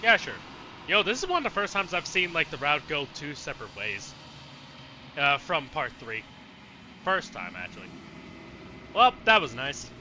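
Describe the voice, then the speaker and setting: A man's voice: a little high, big pitch swings, normal speed, pauses often, noisy background. A man streaming a let's play, commenting casually and bluntly and thinking aloud as he goes. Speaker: gaming streamer.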